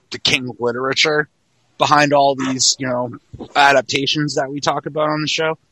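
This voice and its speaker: Bright-voiced man around forty. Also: medium pitch, dry recording, poor audio. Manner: emphatic, profane. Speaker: podcast host. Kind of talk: casual film discussion.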